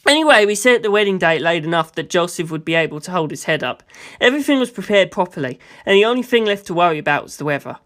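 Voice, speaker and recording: A narrator reading a first-person story aloud as an audiobook, in an expressive, conversational and dramatic way. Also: medium pitch, fast talker. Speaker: audiobook reader.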